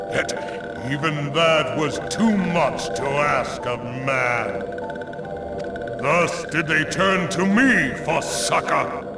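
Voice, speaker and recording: An actor playing an ancient, stern thunder god and forest guardian in a video game, declaiming gravely in archaic, theatrical speech. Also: male voice, on the high side. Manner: grave, pronouncing, judgmental, deliberate. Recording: roomy, distant echoing voice under loud music.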